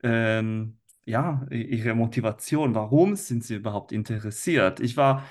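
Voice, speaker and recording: A man's voice: mid-range voice, a little slow. A man from Italy, a native Italian speaker with an Italian accent, chatting as a podcast guest and often searching for his words. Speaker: language teacher, interview guest. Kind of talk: casual podcast conversation.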